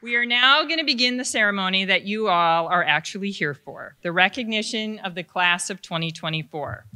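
Bright-voiced woman presenting at a ceremony. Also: medium pitch. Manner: friendly, lightly joking, formal announcements. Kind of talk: commencement address at a podium. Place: large wood-panelled hall with an audience. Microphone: podium microphone.

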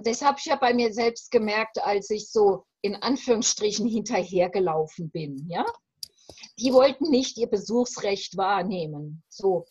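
A woman in her fifties speaks as an interview guest on a video call. Her voice is husky and fairly high, with an emphatic, halting delivery. The sound is muffled and dry. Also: darkish tone, no room sound. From West Germany. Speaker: separation coach, interview guest. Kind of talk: reflective interview conversation. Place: room at home. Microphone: video call, computer microphone.

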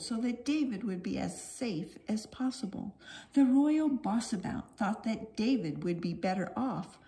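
A high-voiced woman reads a children's story at an unhurried pace. Her pitch swings widely, with theatrical, sing-song emphasis on key words. She narrates expressively, as if to small children. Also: warm tone, poor audio.

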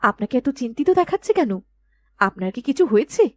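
A woman's voice: a little high, very animated, a little fast. A woman voicing a dutiful young princess in a storybook cartoon, with an earnest, regal way of speaking. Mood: worried, questioning. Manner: earnest, insistent questioning.

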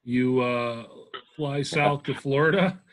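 A man's voice: medium pitch, fairly even intonation, takes it slowly. A man hosting a podcast, asking his guest friendly, open questions. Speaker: podcast host. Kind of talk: interviewing a guest.

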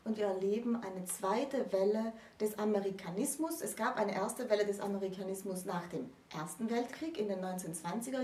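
A woman in her thirties explaining literature in an articulate, emphatic way, in deliberate phrases. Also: medium pitch, warm tone. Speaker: presenter of a literature explainer series. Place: small indoor room with bookshelves. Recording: roomy, distant microphone.

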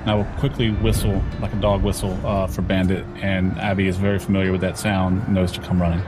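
A man's voice: sits low, little pitch movement, a little fast. A man playing a tabletop game, casually describing what his character does. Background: music.

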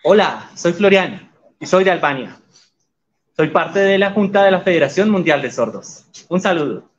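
Guy interpreting live, calm and even, a bit higher voice, slightly muffled. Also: normal speed. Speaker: sign language interpreter voicing the guests.

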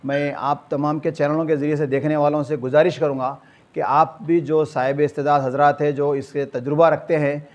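Older Hyderabadi man, slightly high voice. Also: level tone, normal speed, a little mellow. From Hyderabad, India. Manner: formal Urdu vocabulary with repeated filler phrases. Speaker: community organiser. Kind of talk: spoken address to camera. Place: small clinic room, indoors.

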